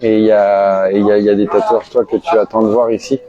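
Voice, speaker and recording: A man's voice: lowish voice, level tone, fast talker. A man with a northern French accent, interviewing a guest in a casual, chatty way with many fillers. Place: busy tattoo convention hall. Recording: dull sound with crowd behind.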